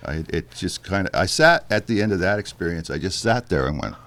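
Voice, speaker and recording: Musician guy, really deep bass baritone, talks in stops and starts, lots of ums. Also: expressive, fairly bright tone. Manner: halting, thoughtful, with fillers and restarts. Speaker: musician guest. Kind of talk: casual interview chat.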